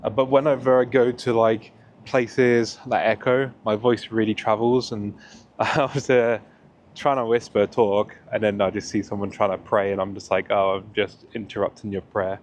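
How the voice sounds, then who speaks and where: Man, young adult, deep voice, relaxed rambling travel vlogger, outdoors. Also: moderate pace, slight background noise. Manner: relaxed, rambling, many fillers. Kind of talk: casual walking vlog. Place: outdoors in a town square and park.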